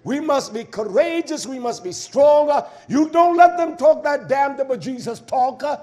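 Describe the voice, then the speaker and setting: Really high pitched guy preaching hard, stern fiery bible teacher, repeats words for punch, combative. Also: animated, a little slow, dark tone. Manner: emphatic, repeating key words for force. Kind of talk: fiery sermon with verse-by-verse exposition.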